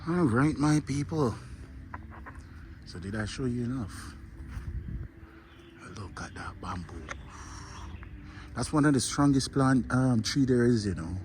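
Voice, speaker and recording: A man's voice: medium pitch, expressive, a little slow, long pauses, a little mellow, a little hiss or hum. Chatty Jamaican man vlogging outdoors. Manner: chatty, addresses viewers as "my people", often drops to a murmur. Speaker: travel vlogger. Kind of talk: casual walking vlog. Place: outdoor hotel garden.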